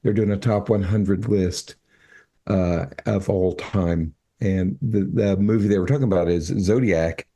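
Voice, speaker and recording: An older man with a low, mellow voice talks casually as a co-host. He stops and restarts often and fills the gaps with ums. His tone stays soft and unhurried. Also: husky. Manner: halting, with many fillers and restarts. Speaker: podcast co-host. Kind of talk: casual chat.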